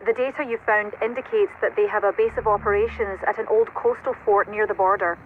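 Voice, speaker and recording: An actor playing a composed military intelligence operator, giving a measured briefing through a radio filter in a game cutscene. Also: medium pitch, fairly even intonation. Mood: composed, informative. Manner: measured, informative. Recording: narrow, noisy radio transmission.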